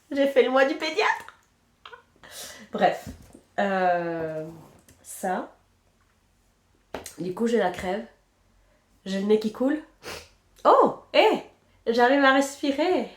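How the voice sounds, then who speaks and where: A woman's voice: fairly high pitch, sing-song, drawn-out words, long pauses, roomy sound. Chatty woman with a stuffy head cold. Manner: chatty and self-mocking, trails off counting stitches. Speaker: knitting podcast host. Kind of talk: casual chat while knitting.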